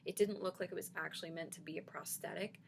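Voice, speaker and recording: A woman's voice: lowish voice, fast talker, gravelly, a little hiss or hum. A woman vlogging casually about dentures, reassuring her viewers with frequent ums and a slight lisp. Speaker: denture vlogger answering comments. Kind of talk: casual vlog chat.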